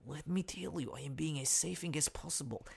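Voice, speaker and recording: A husky, creaky Ukrainian foreign correspondent for a comedy news show. He is nervous and hapless, reporting in hushed, broken English with a thick Ukrainian accent and repeating himself as if afraid of being overheard. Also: on the high side, brisk, exaggerated voice. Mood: flustered. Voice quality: hushed, breathy, gravelly. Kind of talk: comic fake news field report.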